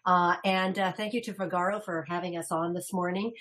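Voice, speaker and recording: A woman's voice: lowish voice, fairly even intonation, fairly quick, a bit bright. A woman consultant presenting a salon business webinar in a chatty, upbeat way, laughing easily.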